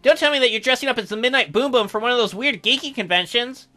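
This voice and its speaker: A man's voice: very high voice, fast, pushed and bright, pitched above the performer's own voice. A man voicing an awkward, skeptical young male game protagonist who teases and stammers. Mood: teasing. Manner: hesitant stammering mixed with glib mockery. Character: awkward, skeptical, teasing teenage guy protagonist.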